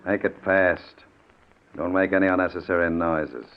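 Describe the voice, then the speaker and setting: A man's voice: low-pitched, fairly even intonation, normal speed, lightly acted. An actor playing a cold gunman bent on vengeance, commanding and threatening, in an old-time radio suspense drama. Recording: dull, noisy old radio recording.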